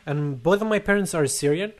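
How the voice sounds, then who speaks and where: A man's voice: fairly high pitch, lively intonation, fairly quick. A young man whose first language is Arabic, telling his story in casual chat, earnest and wry, with many fillers and hesitations. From Saudi Arabia.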